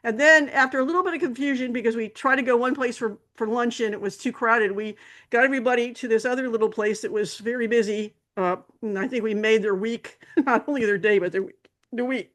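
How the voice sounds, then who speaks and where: A woman's voice: on the high side, fairly quick, a bit bright. A woman hosting a camera club meeting, giving announcements in a rambling way with many fillers and restarts.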